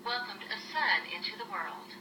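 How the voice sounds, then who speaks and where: A woman's voice: a little high, animated, moderate pace, noisy background. An older woman telling a children's story expressively, as a grandmother reading aloud. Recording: roomy, distant home recording with music. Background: music.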